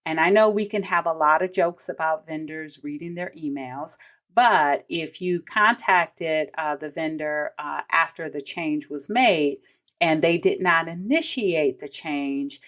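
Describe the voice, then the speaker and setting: An American woman hosting an instructional podcast, explaining in a conversational way with emphasis on key points and casual asides. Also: low-pitched. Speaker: podcast host, vendor payments trainer.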